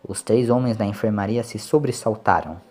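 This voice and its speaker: A man's voice: fairly low pitch, normal speed, darkish tone. A man narrating a scripted audio story in a dramatic storytelling style.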